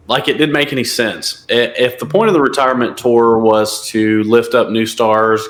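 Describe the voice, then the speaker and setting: A man's voice: mid-range voice, fairly bright tone. Podcast co-host, male, emphatic and opinionated, recorded with a roomy echo. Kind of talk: casual wrestling podcast chat.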